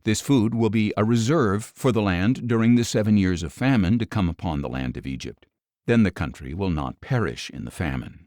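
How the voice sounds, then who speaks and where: A man reading scripture aloud as a wise, humble young dream interpreter, measured and earnest. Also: on the low side, expressive, a little mellow, dry recording, clean studio sound, close to an everyday voice. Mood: earnest, solemn.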